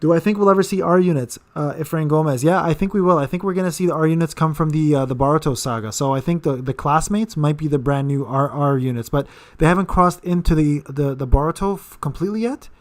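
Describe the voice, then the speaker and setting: A man's voice: a little high, fast talker, hardly any pauses. A man in his thirties hosting a casual live-stream Q&A, rambling with repeated words and fillers. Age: 30s. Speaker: gaming channel host.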